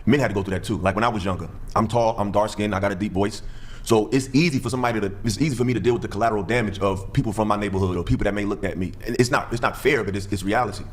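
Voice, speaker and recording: Man, 30s, low register, rapid delivery, panel talk. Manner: fast, animated storytelling with acted-out quotes. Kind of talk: podcast panel discussion.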